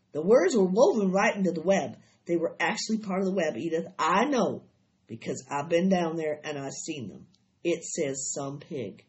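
A woman voicing an earnest, shaken farmer husband from a storybook, grave, halting and folksy. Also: lowish voice, dull audio.

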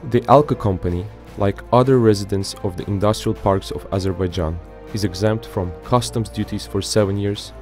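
Male narrator for an industrial report: low register, formal, measured scripted read, faint music bed. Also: a little mellow.

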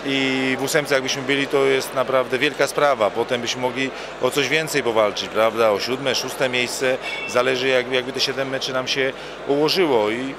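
A man in his forties talks as a football coach in an interview, his voice at an ordinary middle pitch. He often repeats a word as he starts a new phrase, speaking into a handheld mic. Clear noise from the busy gala venue sits under his voice. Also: fairly even intonation, normal speed. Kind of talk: interview answers. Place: hotel bar at an evening gala, indoors. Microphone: handheld mic held by interviewer.

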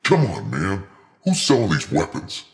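A man acts out a flustered young superhero trying to sound menacing from a film scene. The voice is processed down with a faint robotic flange, gravelly and rough, and it leaps around in pitch. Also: huge pitch swings, measured pace, exaggerated voice. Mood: flustered, demanding. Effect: pitch shifted down, subtle robotic flanger. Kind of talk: acted film scene imitation.

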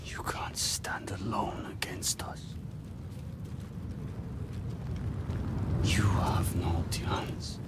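Low, hard Norse clansman. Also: little pitch movement, slow, whispered. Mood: threatening. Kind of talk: dramatic video game acting.

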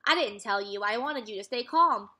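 An eager young girl adventurer from a storybook, as a woman reader plays her. She is high, bright and swooping, with an excitable, curious way of asking things. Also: normal speed.

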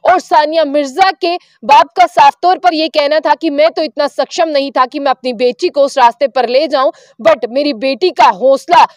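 A woman's voice: sits high, dry recording, studio quality. A young woman reporter, speaking to camera outdoors with a handheld mic, reading notes and correcting herself as she goes. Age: young adult. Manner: reads from phone, fluent with fillers and self-corrections. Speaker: news reporter. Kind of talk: piece to camera news report. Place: outdoors under a tree.

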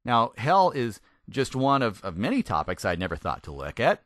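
A man, a native English speaker, gives a conversational Bible teaching, putting questions to the listener and stressing words deliberately. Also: medium pitch, wildly swooping pitch, a bit bright, no room sound, studio quality. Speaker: Bible teacher, former pastor. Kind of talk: conversational sermon, Bible teaching with scripture reading.

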